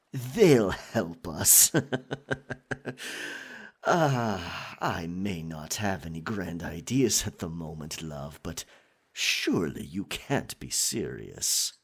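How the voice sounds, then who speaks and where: Man playing a low, husky, possessive pirate captain. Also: a bit bright, heavily acted. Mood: suspicious, stern. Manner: theatrical, teasing, dominant, pet names. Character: possessive, roguish male pirate captain turned merman. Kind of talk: ASMR roleplay acting.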